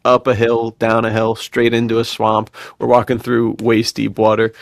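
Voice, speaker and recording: A man's voice: mid-range voice, completely flat delivery, moderate pace, gravelly, dry recording, studio quality. An American man chatting casually as a podcast co-host, slangy and bro-ish as he tells personal stories.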